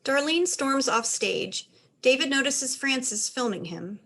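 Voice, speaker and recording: A woman's voice: high-pitched, animated, a little slow, fairly bright tone. A woman reading out stage directions as the narrator of a dramatized play reading.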